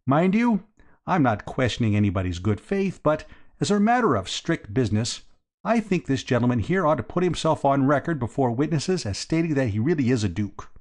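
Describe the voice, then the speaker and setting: A man's voice: medium pitch, sing-song, a little fast, darkish tone, voice pushed up, close to an everyday voice. A man voicing a stout, suspicious businessman in an audiobook, blunt and insistent in manner. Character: stout, well-fed, cold-eyed businessman, suspicious and pushy. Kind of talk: audiobook character dialogue.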